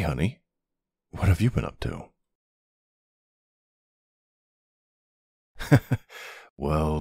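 A man playing a tender but commanding mafia boss husband in an ASMR roleplay, with a low, gravelly, husky voice. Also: darkish tone, dry recording, studio quality, full character voice. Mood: gentle, polite.

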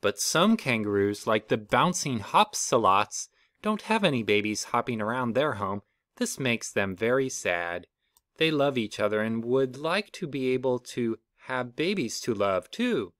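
Lively man reading a picture book. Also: a little slow, dry recording, clean studio sound. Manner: lively storybook reading with playful pitch jumps. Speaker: reader of a children's book.

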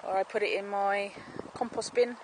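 A woman's voice: medium pitch, steady tone, moderate pace. Chatty woman filming her allotment plot. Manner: chatty, homely, explains as she goes. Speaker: allotment gardener. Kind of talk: casual walking tour commentary to camera. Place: outdoor allotment garden plot. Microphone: handheld camera, speaker off screen. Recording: outdoor camera audio with clear background noise.

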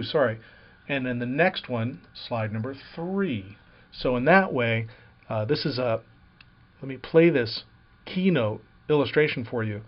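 Man explaining software, dull lo-fi sound. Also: mid-range voice. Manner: plain explanatory, frequent "uh" and "um" fillers. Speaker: software tutorial presenter. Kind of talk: screen-recorded software walkthrough.

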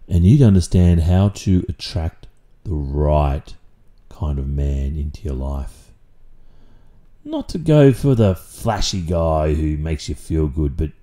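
An Australian man playing a stern, protective, possessive father in an audio roleplay, speaking deliberately and condescendingly with drawn-out pauses and menacing calm. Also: low voice, exaggerated voice. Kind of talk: intimate audio roleplay, slow dramatic monologue.

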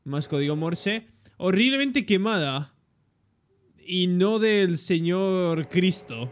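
A young man with a Peninsular Spanish accent giving excitable reaction commentary and translating aloud as he goes. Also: high-pitched, slow. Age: young adult. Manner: excitable, interjecting. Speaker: reaction video host. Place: indoor room, webcam reaction setup. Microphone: desktop streaming microphone.

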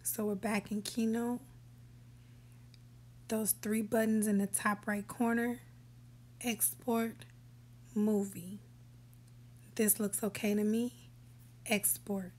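A woman hosting a screen-recorded app tutorial, giving relaxed step-by-step instructions that sometimes drop to a whisper. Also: medium pitch, slow, pauses often. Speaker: tutorial host. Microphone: voice-over for a screen recording.

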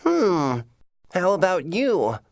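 A woman's voice: lowish voice, very animated, slow pace, gravelly, darkish tone, no echo, studio quality, exaggerated voice. A woman voicing a carefree, playful male grasshopper in scripted English-lesson cartoon dialogue. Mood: carefree, inviting.

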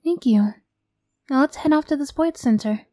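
A woman acts out a young girlfriend in an ASMR roleplay, high and soft-toned. She is affectionate and chatty, with a tough streak under the sweetness. The sound is close and dry over background music. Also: moderate pace, no echo, close to an everyday voice. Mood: affectionate, bubbly.

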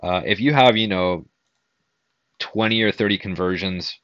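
A man's voice: deep voice, normal speed, a bit bright, no room sound, dull audio. A young man giving a casual, conversational screen-share tutorial as a marketing instructor. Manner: informal, conversational, explanatory.

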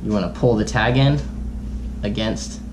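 A man's voice: lowish voice, moderate pace, background noise. A young man in his twenties casually demonstrating fishing knots at a table in a small room, talking in an easygoing, chatty way full of "you know" and "like". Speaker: fishing vlogger. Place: small bedroom at a table, indoors. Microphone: camera close in front of him.

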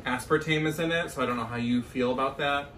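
A man's voice: mid-range voice, fast, fairly bright tone. A young man co-hosting a casual vlog, chatting effusively to the camera. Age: young adult. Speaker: vlog co-host. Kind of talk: casual grocery haul chat to camera. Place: small kitchen at home, indoors. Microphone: camera a few feet away. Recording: roomy, distant mic, faint noise.

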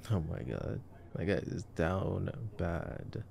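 A man's voice: sits low, takes it slowly, vocal fry, darkish tone, slight background noise. A young man giving casual, joking, intimate movie-watching commentary in a boyfriend sleep-aid roleplay, full of slang. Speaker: ASMR roleplay voice actor.

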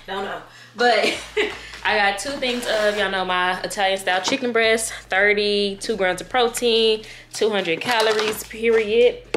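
Young woman, Southern American accent, excitable and slangy, roomy echo. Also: medium pitch. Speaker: vlogger. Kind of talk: casual vlog chat. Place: apartment kitchen, indoors. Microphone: camera at a distance. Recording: echoey room, clear background noise.

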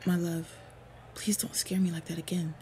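Mellow, devoted orc warrior girlfriend, played by a woman. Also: on the low side, flat delivery, normal speed, lightly acted. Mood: relieved, tender. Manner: soft, earnest, intimate, often breathy. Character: devoted, protective female orc warrior lover. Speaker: roleplay audio voice actor. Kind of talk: intimate roleplay audio acting. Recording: clear background ambient noise. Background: ambience sound effects.

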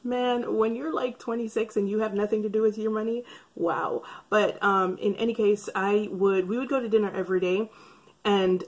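A woman in her thirties, a beauty vlogger, talking casually to camera in a chatty, wry way with a slightly raspy voice from breathing trouble. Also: mid-range voice, fairly even intonation, moderate pace. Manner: chatty, wry, rambling asides. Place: bright room by a curtained window. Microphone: camera framed head and shoulders.

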